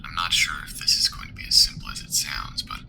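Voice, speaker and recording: A man playing a wry, hesitant alien crewmate in an ASMR sci-fi roleplay, speaking in a soft, breathy, husky voice. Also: fast, close to an everyday voice. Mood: wry, uneasy. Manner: hesitant, musing, dryly wry. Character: soft-spoken, hesitant, wry male alien crewmate and former rebel soldier.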